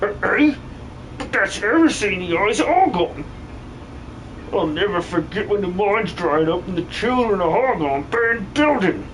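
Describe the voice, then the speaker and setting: A man voicing an excitable, colloquial miner villager in an improvised video game fan dub. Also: high voice, normal speed, a little hiss or hum, low-quality recording. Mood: solemn, conflicted. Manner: colloquial, exclamatory.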